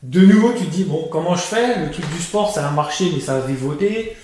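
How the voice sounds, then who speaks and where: A man's voice: fairly high pitch, brisk, slight background noise. Male guest, 30s, conversational storyteller, echoey room. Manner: animated storytelling with many restarts and fillers. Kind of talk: conversational life-story interview.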